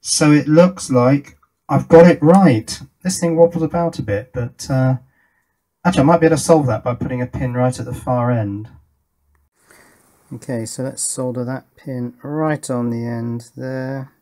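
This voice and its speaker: A man's voice: mid-range voice, normal speed, dark tone, low-quality recording. Man thinking aloud at his workbench. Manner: thinks aloud, asking himself questions. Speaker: electronics hobbyist presenter. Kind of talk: casual hands-on workbench commentary. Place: indoor workbench.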